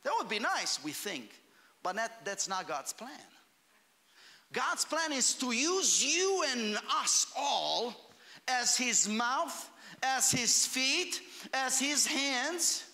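A fervent male preacher, his voice very high and bright. He speaks slowly but with a lively, swooping pitch, rising to shouts on key phrases. The sermon rings out with long hall reverb. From Romania. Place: church hall.

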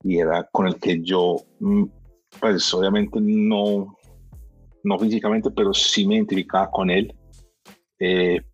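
Low, husky man, laid-back and slangy. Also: little pitch movement, unhurried, lo-fi sound, soft background music. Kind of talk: casual chat.

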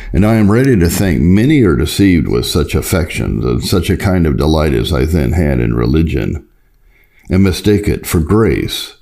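A man with a very low voice reads a scripted religious memoir. His delivery is solemn and formal, with measured phrasing suited to an audiobook of old devotional writing. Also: gravelly, dark tone. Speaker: audiobook reader. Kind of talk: scripted reading of a Puritan memoir.

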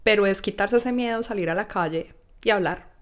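A woman's voice: mid-range voice, brisk. A young adult woman, a podcast guest in casual conversation, earnestly explaining things step by step, recorded in an apartment. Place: apartment living room.

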